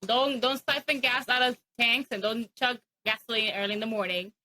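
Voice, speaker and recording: Bright, sharp-voiced woman teasing. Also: on the high side, fairly even intonation, moderate pace, a little hiss or hum, low-quality recording. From Philadelphia. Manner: teasing, laughs along. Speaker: podcast co-host. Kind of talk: casual chat.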